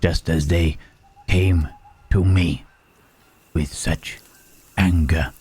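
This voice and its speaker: A man playing a gentle, melancholy Frankenstein's monster in an intimate ASMR roleplay, speaking haltingly and formally in a husky, breathy rasp. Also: low voice, fairly even intonation, exaggerated voice. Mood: sorrowful, wondering. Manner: slow, halting, archaic formal phrasing with long pauses.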